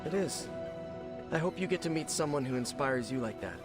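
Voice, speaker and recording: A man's voice: fairly high pitch, animated, fairly quick, music in the background, natural voice. An actor playing a caring young male hero in a video game cutscene, talking earnestly about the people he loves. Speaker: game character.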